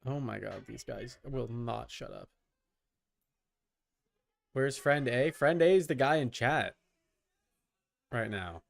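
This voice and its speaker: A man's voice: mid-range voice, animated, takes it slowly, vocal fry, pauses often. A man giving casual live gaming commentary as a streamer, informal, with muttered asides and mild swearing. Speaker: video game streamer.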